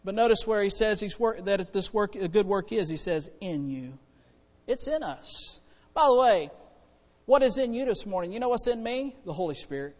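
High-pitched male preacher in a reverberant hall. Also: expressive, moderate pace. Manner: conversational and folksy, swinging from hushed asides to loud exhortation. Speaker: preacher and church choir director. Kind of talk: animated sermon to a congregation. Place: church hall, preaching to congregation. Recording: reverberant hall with long echo.

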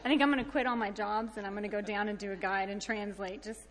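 A woman's voice: medium pitch, steady tone, quick pace. A woman giving an informal church testimony in an earnest, plain-spoken way. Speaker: church mission trip member giving a testimony. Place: large indoor church hall. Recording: echoey hall with background noise.

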